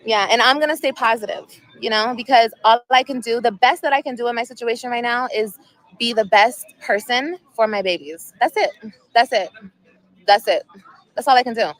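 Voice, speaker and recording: A woman's voice: fairly high pitch, moderate pace, low-quality recording. A woman in her thirties chatting casually on a livestream outdoors at sunset, emotional and rambling, repeating herself often. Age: 30s. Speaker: vlogger.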